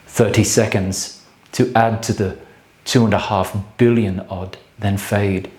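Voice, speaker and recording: Male actor, bleak brooding solitary man, stage monologue, very slow halting delivery, grave, echoey room. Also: on the low side, fairly even intonation, darkish tone, lightly acted. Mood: bleak, haunted. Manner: halting, clipped fragments with measured pauses. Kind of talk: theatrical dramatic monologue.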